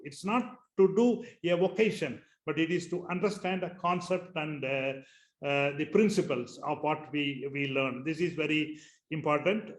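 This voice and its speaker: Indian man, native Tamil speaker, hesitant webinar talk, somewhat high-pitched, roomy echo on a call. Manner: halting, many pauses and fillers. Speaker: school founder, webinar speaker. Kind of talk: webinar presentation. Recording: echoey room on a webinar call.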